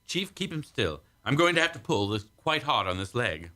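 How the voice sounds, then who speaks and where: A man's voice: medium pitch, very animated, normal speed, pushed and bright. A man playing a caring but firm ship's doctor in a science fiction radio play, fussing and giving instructions. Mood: firm, busy. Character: brisk, caring male ship's doctor, firm under pressure.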